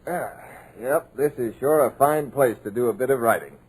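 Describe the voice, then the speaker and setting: An actor playing a scriptwriter who muses aloud with folksy, wry asides, in 1940s radio drama style. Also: male voice, medium pitch, moderate pace, a little mellow, slightly rough, lightly acted, rough recording. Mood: breezy. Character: wisecracking young male scriptwriter, nervous underneath.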